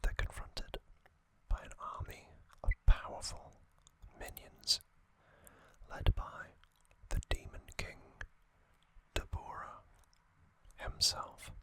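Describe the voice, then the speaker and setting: An ASMR host whispering trivia, softly repeating single words as triggers and reading from a box cover. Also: takes it slowly, rough recording. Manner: reads aloud from a box cover.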